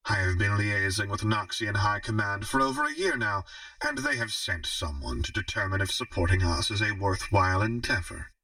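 A man voicing a shrewd, commanding female crime baron in an audiobook, speaking in formal, measured speeches. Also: lowish voice, expressive, bright, forceful tone, no room sound, distant mic, poor audio. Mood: calm, businesslike.

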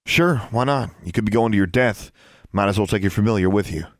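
A man acts out a young male wizard for an audiobook. He is a protective older brother with a quick temper. His words come out snapped or growled, recorded dry and close. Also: on the low side, dry recording, close to an everyday voice. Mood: resigned. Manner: growling, snapping, sighing through clenched teeth.

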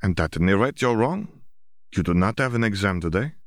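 A man voicing a calm, devoted young woman in an audiobook, speaking in a measured, formal, reassuring way. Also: fairly low pitch, dry recording, studio quality. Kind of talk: audiobook character voice.

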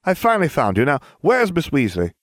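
A man's voice: fairly high pitch, huge pitch swings, a little fast, no echo, studio quality, voice pushed up. A man voicing a worried, polite adult schoolteacher in an audiobook, with an urgent manner. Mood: concerned.

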